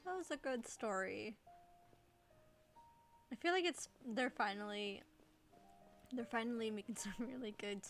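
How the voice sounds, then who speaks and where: A woman game streamer chatting casually in a giggly, chatty manner. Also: on the high side. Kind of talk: casual livestream chat. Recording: faint noise, clicks.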